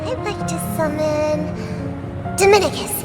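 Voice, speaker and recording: A woman's voice: high-pitched, a little slow. An actress playing a sweet, hopeful little girl in a video game, speaking with innocent earnestness. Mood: hopeful, dreamy. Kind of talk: dramatic video game dialogue. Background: loud music.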